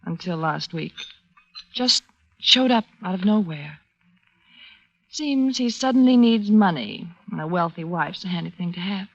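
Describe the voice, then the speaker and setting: A woman playing a wealthy, troubled, elegant woman, confiding in a halting, dramatic 1940s radio manner. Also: fairly low pitch, animated, vocal fry, no room sound, exaggerated voice. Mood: troubled, resigned. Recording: muffled, noisy 1940s radio recording.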